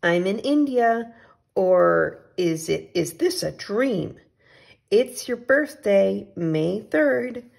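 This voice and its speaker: A woman reading as an adventurous, affectionate male storybook traveler, with exclamatory phrasing and drawn-out sign-offs. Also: medium pitch, lively intonation, vocal fry, a little mellow, full character voice. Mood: eager, affectionate. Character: adventurous, affectionate storybook traveler writing postcards to his friend.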